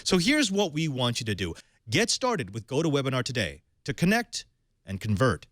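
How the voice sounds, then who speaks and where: A man hosting a tech podcast, reading a sponsor spot and then welcoming and questioning a guest in a lively way. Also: medium pitch, moderate pace, dry recording, studio quality. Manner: animated, leading questions with fillers. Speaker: podcast host. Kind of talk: tech show hosting and ad read.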